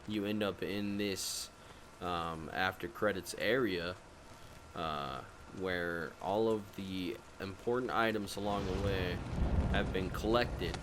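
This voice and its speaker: Low-voiced man giving casual game commentary. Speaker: gaming video host. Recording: voice-over with noisy game ambience.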